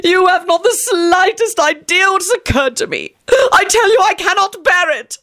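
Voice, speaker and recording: A woman's voice: very high voice, expressive, normal speed, sharp tone, voice pushed up, heavily acted. A woman voicing a melodramatic young man who wails and sobs in grand, old-fashioned phrases. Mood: piteous. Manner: shrieking, sobbing, archaic grand phrasing. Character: melodramatic wailing young man, theatrical and self-pitying.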